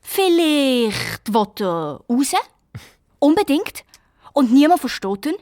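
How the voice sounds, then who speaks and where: Young girl detective, Swiss German accent, high voice, excitable, insistent, unhurried, children's radio play. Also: dry recording, studio quality, exaggerated voice. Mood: pleading. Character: eager, pleading young twin girl detective. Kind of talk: Swiss children's audio drama.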